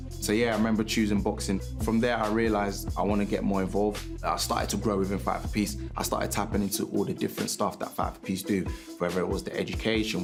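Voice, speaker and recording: A very low male voice belonging to a youth boxing coach. He speaks in an earnest, reflective way during an interview. A music bed runs clearly underneath his words. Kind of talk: documentary interview.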